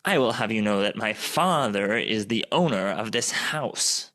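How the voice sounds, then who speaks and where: A man's voice: lowish voice, expressive, unhurried, sharp tone. A man playing a haughty, cowardly rich young man in a radio play, pompous and prone to stammering when afraid. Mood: pompous, indignant. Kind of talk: improvised radio play acting.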